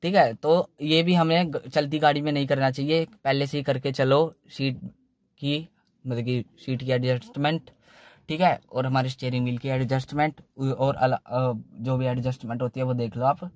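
A man's voice: medium pitch, steady tone, moderate pace, darkish tone, dry recording. A young man explains driving tips in a loose, repetitive way, saying "theek hai" again and again, recorded on a phone inside a parked car. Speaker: car channel host. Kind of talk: casual how-to talk in a parked car.

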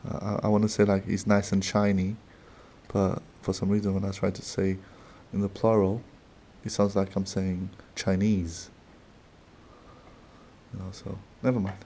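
A man with a non-native accent opening trading cards at a desk, talking casually and haltingly with small laughs. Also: low-pitched, level tone, dark tone, a little hiss or hum. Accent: non-native English. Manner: slow, halting, chatty with little laughs. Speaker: card-opening channel host. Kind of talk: casual card-opening commentary. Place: desk in a dim room.

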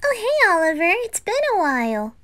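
High-voiced, excitable anime girl played by a woman, very animated, gushing and teasing. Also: heavily acted. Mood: friendly, chirpy. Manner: gushing, giggly, drawn-out exclamations. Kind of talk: amateur anime audio roleplay.

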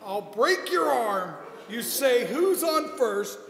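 A middle-aged man reading a classic comedy routine on stage, exasperated, animated and a little halting. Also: very high voice. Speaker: amateur comedy performer. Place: small indoor stage in a hall. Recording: echoey, noisy hall from a distance.

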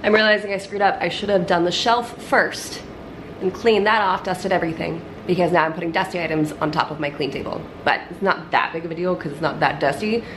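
A woman's voice: on the low side, brisk. Woman in her 30s, home vlogger, casual clean-along chatter, slight room hum. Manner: chatty, self-deprecating, laughs often. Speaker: lifestyle vlogger. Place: kitchen and entryway of a house, indoors.

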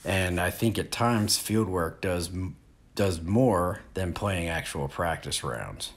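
Low-voiced man teaching outdoors. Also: takes it slowly. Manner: relaxed, explanatory, plain-spoken. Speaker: disc golf instructor. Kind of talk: outdoor instructional talk. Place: open field outdoors.

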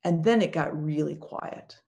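A woman's voice: sits low, measured pace, vocal fry, dark tone. A woman acting a proud foreign single mother who is stung but defiant, speaking in an earnest, emotionally raw first-person monologue. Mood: resolute. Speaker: actor in a biblical monologue.

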